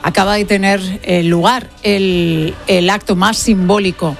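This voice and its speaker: A woman's voice: medium pitch, fairly even intonation, unhurried, vocal fry, faint music behind. A woman hosting a live radio broadcast, describing an ongoing event with some hesitation. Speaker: radio host. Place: stadium broadcast position.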